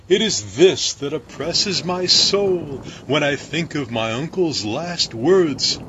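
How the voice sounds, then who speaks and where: A man's voice: a little high, a bit bright, lo-fi sound, slight background noise, voice pushed up. A man voicing a tearful, timid baker in a storybook poem, pleading in a raised, quavering voice. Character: tearful timid male baker, anxious and rambling.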